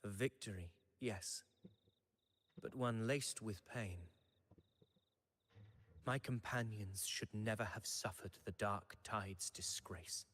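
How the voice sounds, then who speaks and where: A burdened gladiator veteran from a fantasy game. His lowish voice is grave, formal and sorrowful, with long hall reverb behind it. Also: steady tone, takes it slowly, long pauses, a bit bright, slightly rough, full character voice. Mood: grave, regretful. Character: solemn male gladiator veteran burdened by comrades' suffering. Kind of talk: dramatic fantasy game voice acting.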